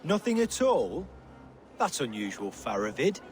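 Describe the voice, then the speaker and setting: A man playing a cautious, stubborn medieval king in a video game, formal and measured. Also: mid-range voice, sing-song. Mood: sceptical. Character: cautious, stubborn, courtly Saxon puppet king. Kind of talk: video game dramatic acting.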